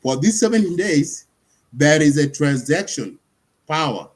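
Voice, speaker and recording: A husky-voiced man from talks through his points in a relaxed panel discussion. He explains patiently, repeating himself and asking "you see?" as he goes. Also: a little high, unhurried. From South Sudan.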